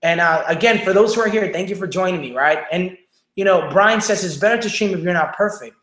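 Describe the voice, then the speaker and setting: A man with a Mexican accent hosting a motivational livestream on his phone, talking fast and energetically and tagging each point with "right?" or "okay?". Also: high voice, a bit bright. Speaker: livestream show host. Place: home room without studio lighting. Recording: echoey room.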